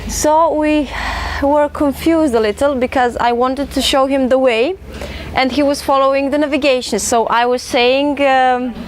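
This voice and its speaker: A woman from the Balkans tells a story in a documentary interview. Her voice sits a little high, and there is faint background noise. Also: steady tone, measured pace. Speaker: youth group leader.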